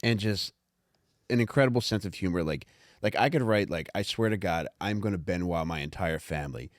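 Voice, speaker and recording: A man's voice: low-pitched, a little fast, no echo, studio quality. A man talking candidly and informally on a podcast, rambling a little and reading out his own written commentary. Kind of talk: casual podcast chat with read-aloud commentary.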